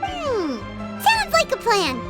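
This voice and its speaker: A woman voicing a tiny, childlike fairy companion in a video game, cheerful, excitable and squeaky, given to exclaiming. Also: slow, full character voice. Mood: perky. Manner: refers to self in third person, exclaiming. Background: loud game music.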